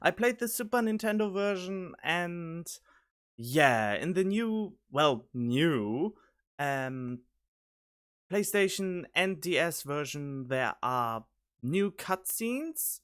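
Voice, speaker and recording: A man hosting a casual gaming reaction video, talking in a halting way as he thinks aloud into a studio microphone. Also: fairly high pitch, sing-song, a bit bright, clean tone. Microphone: studio condenser microphone.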